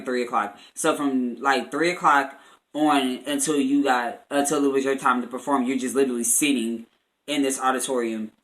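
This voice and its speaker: A young man with an American accent and a bright, forward voice tells a personal story to his viewers. He talks casually and chattily, leaning on slangy filler phrases. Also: mid-range voice, low-quality recording. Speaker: vlogger.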